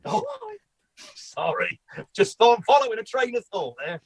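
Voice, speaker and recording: Male actor as amiable village bloke, high-pitched for a man, chatty, mild, dry close sound, radio comedy. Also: animated, a little slow, creaky, breathy edge, no room sound, heavily acted. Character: genial, slightly hapless village man, a regular customer. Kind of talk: comedy radio play read online.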